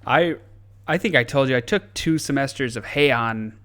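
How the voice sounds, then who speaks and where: A man's voice: mid-range voice, normal speed, over a noisy crowd. A young American man hosting a podcast, joking and swearing in loose casual chat, often restarting his sentences. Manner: animated, profane, joking, with restarts. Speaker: podcast host.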